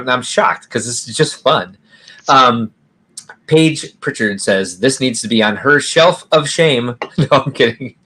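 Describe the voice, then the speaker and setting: A man's voice: medium pitch, bright, forceful tone. A man co-hosting a casual livestream chat, rambling and reading viewer comments aloud with frequent laughs. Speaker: podcast co-host.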